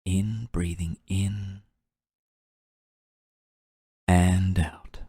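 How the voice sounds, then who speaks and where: A man guiding a meditation in a soft, breathy, soothing voice, gently and unhurriedly, with long pauses. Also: low voice, little pitch movement, no echo, clean studio sound. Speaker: meditation guide. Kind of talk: guided meditation narration.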